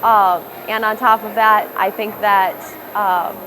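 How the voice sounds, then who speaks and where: A woman's voice: mid-range voice, steady tone, takes it slowly, music in the background, poor audio. Young Midwestern woman, candid interview talk. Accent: Midwestern American. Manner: candid, reflective, self-correcting restarts. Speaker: rapper. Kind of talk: documentary interview. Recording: noticeable background noise.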